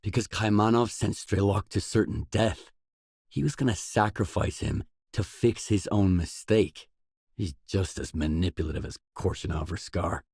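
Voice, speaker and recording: An actor playing a determined, brooding stalker in a grim video game, with a measured, serious, understated delivery. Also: male voice, darkish tone, no echo, studio quality, heavily acted. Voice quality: low, husky. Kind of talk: game voice acting, isolated dialogue lines.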